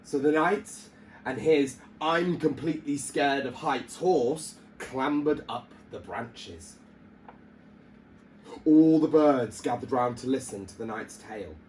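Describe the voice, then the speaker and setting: A man's voice: medium pitch, slow pace, a little mellow, slight background noise, low-quality recording. A man reading a children's story aloud like a teacher at storytime, theatrical and emphatic.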